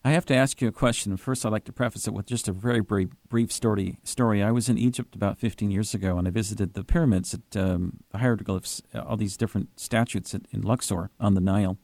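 A man hosting a radio interview, asking earnest, gently probing questions. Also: fairly low pitch, steady tone, fast talker, few pauses, dry recording. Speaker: radio host.

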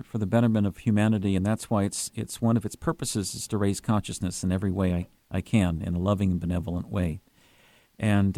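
A man hosting a radio interview, asking earnest, gently probing questions. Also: fairly low pitch, no room sound. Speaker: radio host.

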